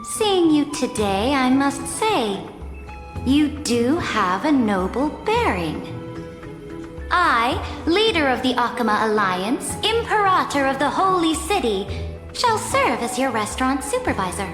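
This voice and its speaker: A woman's voice: high voice, sing-song, unhurried. An actress voicing a regal, self-assured female imperator in a video game, formal and commanding. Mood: gracious, commanding. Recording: echoey hall, faint music.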